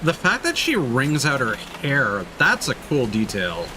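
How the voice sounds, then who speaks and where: A man's voice: medium pitch, wildly swooping pitch, measured pace, background noise. A man giving casual livestream gameplay commentary, thinking aloud in a rambling, jokey way. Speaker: video game streamer. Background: game ambience.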